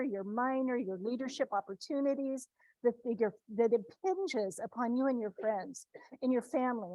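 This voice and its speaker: Woman from the Midwest, fairly high voice, talks to students in a chatty, restart-heavy way; dry, close recording. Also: no room sound, poor audio. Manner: conversational, many restarts and tag questions. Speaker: speaker addressing students. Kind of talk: informal talk to students.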